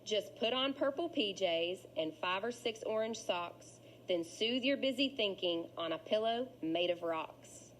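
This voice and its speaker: A woman's voice: mid-range voice, animated, measured pace, hardly any pauses, close to an everyday voice, poor audio. Woman as male crab, sleepy, proud, helpful, confident, children's storybook, background noise.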